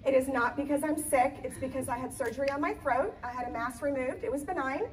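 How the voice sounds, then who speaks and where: A woman event planner, slightly hoarse, giving a chatty live talk in a conference room with many ums and restarts. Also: high-pitched, fast, recorded from a distance. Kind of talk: live presentation to an audience.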